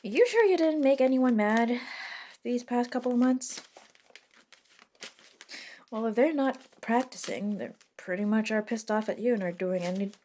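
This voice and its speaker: A woman playing a reluctant, sardonic modern witch in a soft-spoken ASMR roleplay, talking casually and hesitantly with dry wit and mild swearing. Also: a little high, normal speed, pauses often, a little hiss or hum, natural voice. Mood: dry, concerned.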